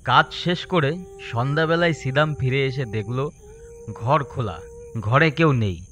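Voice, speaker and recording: A man's voice: mid-range voice, unhurried, fairly bright tone. A man narrating a scripted story as a storyteller.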